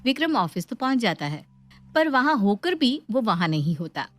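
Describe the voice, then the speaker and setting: A woman's voice: a little high, very animated, moderate pace, dry recording. A woman reading scripted narration as the storyteller of a domestic tale.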